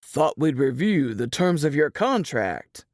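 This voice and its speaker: A woman's voice: low-pitched, very animated, a little slow, darkish tone, dry recording, clean studio sound, heavily acted. A woman voicing a belligerent, slurring male tavern manager who has been drinking, in a story reading. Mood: tipsy, insistent.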